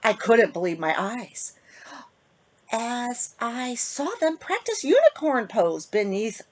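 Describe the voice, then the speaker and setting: A woman children's author with a slightly husky voice, reading a storybook aloud to young listeners and chatting to them in an animated way. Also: a little high, slow. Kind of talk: casual intro and expressive storybook reading to children.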